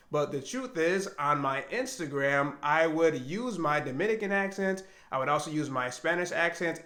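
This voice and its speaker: A man's voice: a little high, sharp tone, roomy sound. An American man talking through his own thoughts in an earnest, reflective vlog. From United States. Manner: earnest, thinking aloud. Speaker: language learning vlogger.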